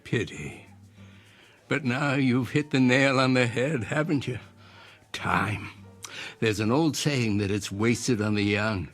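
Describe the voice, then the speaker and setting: An actor playing an eccentric older inventor in an audio drama, speaking in a formal, measured, philosophical and persuasive way. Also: male voice, medium pitch, soft background music. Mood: wistful, philosophical. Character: eccentric old inventor, courteous, cryptic, philosophical stranger.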